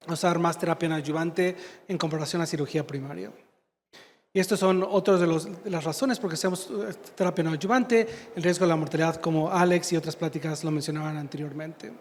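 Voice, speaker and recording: A man from Mexico giving a medical conference talk, fluent but searching for words and filling gaps with "este". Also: fairly high pitch. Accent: slight Mexican Spanish. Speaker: conference speaker, surgeon. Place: large conference hall. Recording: long hall reverb.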